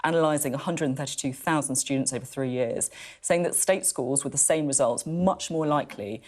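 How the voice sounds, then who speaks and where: A female broadcast interviewer with a very deep voice for a woman. She puts challenging questions in a measured, careful way. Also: a little mellow. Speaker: news interviewer.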